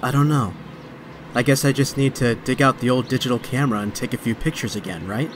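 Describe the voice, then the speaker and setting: An actor playing a young, wisecracking but stressed superhero in a comic dub, with a sardonic, conversational delivery. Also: male voice, mid-range voice, a little fast, noisy background, close to an everyday voice. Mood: reassuring. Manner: sardonic, conversational inner monologue. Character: young male superhero, wisecracking yet stressed and self-doubting. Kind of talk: dramatic comic dub acting.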